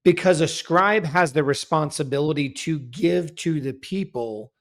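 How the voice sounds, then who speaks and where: A man's voice: fairly high pitch, a little slow. Man explaining his research at length. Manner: careful, self-correcting, explains at length. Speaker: guest researcher. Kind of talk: casual scholarly discussion.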